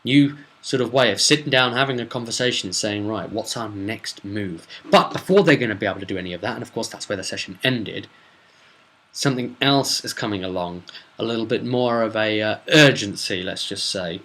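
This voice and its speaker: Bright-voiced man recapping a game session. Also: fairly low pitch, fairly quick. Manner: discursive, loosely strung asides. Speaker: game master and video host.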